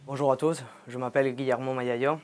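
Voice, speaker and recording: A man's voice: medium pitch, steady tone, a little fast. A young man with a Spanish accent, a master's student speaking thoughtfully with some hesitation. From Spain. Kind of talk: testimonial interview.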